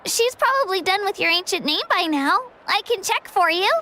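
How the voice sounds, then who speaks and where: A woman's voice: very high voice, expressive, moderate pace, bright, forceful tone, a little hiss or hum, exaggerated voice. A woman voicing an earnest, friendly young girl adventurer in an anime game, admiring and encouraging. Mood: friendly, casual.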